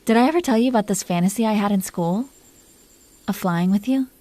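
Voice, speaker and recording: A woman voices a possessive dragon queen with a mellow, relaxed voice at a middling female pitch. She talks closely and softly to her captive in an intimate roleplay, teasing and coaxing with tender, protective confidence. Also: fairly quick, dry recording, natural voice. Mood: wistful, tender. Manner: teasing, coaxing, soft and intimate, dropping into whispers. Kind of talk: intimate roleplay audio drama addressed to the listener.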